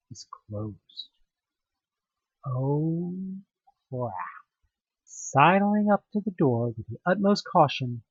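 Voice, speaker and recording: A man giving a theatrical, expressive second-person narration of a horror story. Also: a little high, breathy edge, dry recording, phone-quality sound. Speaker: narrator of an audio drama.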